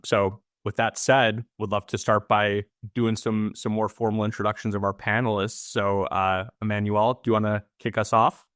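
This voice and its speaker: A man's voice: on the low side, fairly bright tone, dry recording, studio quality. A man hosting a panel webinar, talking earnestly and stopping often with "um" and restarts. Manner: earnest, filler-heavy with restarts. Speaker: webinar host.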